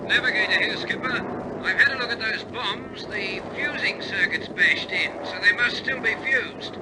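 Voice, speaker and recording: A man's voice: sits high, normal speed, lightly acted. A man in an old radio drama playing an alert, dutiful bomber crewman calling out reports to his captain. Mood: businesslike, alert. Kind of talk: 1950s radio drama acting. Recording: old radio recording, loud aircraft engine noise.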